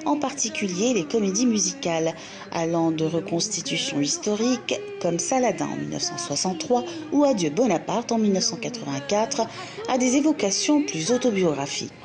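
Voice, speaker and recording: Lower-voiced woman narrating a TV report. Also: sing-song, over music. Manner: measured, formal. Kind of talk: scripted documentary narration.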